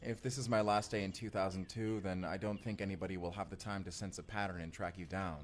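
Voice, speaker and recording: A man's voice: sits low, fairly even intonation, quick pace, a little hiss or hum, natural voice. A man playing a young, friendly time traveler in an audio roleplay, talking casually and intimately straight to the listener. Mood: affectionate, reassuring. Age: young adult. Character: friendly, chatty male time traveler, college-aged-looking, eager and cautious. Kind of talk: first-person immersive audio roleplay acting.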